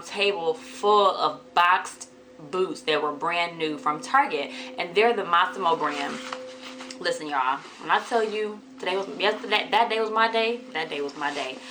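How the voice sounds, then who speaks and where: A woman with a hoarse voice from a cold, chatting casually to viewers in a haul vlog. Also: pushed and bright, slight background noise, poor audio. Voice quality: deeper than usual. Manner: chatty, addresses viewers as y'all. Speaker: vlogger.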